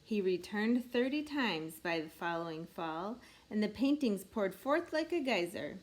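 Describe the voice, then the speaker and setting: A woman reading a rhyming picture book aloud in an expressive, measured storytelling way meant for children. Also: mid-range voice, rough recording. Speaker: storybook reader.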